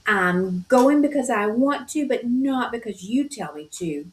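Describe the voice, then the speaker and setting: A woman's voice: medium pitch, expressive, moderate pace, darkish tone, natural voice. A woman voicing a sulky, defiant little girl, read aloud from a storybook. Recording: roomy echo.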